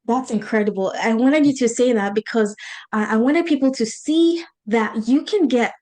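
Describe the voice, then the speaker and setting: A woman's voice: fairly high pitch, moderate pace, darkish tone. A woman with a Nigerian accent, hosting a conversational interview in an earnest way, repeating words for emphasis. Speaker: podcast host and business coach.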